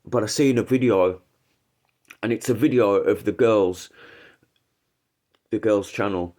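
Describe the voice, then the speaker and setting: A man's voice: fairly low pitch, measured pace, mellow. A man with a head cold, hosting a casual livestream and rambling through his opinions with frequent restarts. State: congested with a cold. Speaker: livestream host.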